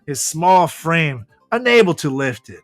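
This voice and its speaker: A man co-hosting a comedy podcast, giggly and halting as he banters and reads a comic story. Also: a little high, very animated, faint music behind. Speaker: podcast co-host.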